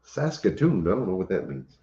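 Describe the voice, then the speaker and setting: Cajun homestead host: very low, easygoing voice in a roomy, muffled recording. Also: male voice, very animated, a little fast, warm tone. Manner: easygoing, chuckles often, occasional coughs. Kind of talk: casual livestream chat. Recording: echoey room, dull lo-fi sound.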